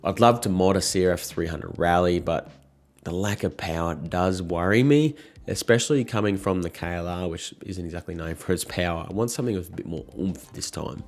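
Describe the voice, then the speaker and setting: A man in his thirties, croaky from a cold, chatting casually and frankly to camera as a motorcycle vlogger. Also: deep voice, fairly quick.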